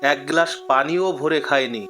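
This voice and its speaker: Bright-voiced man narrating a story. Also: fairly high pitch, brisk. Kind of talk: scripted cartoon story narration.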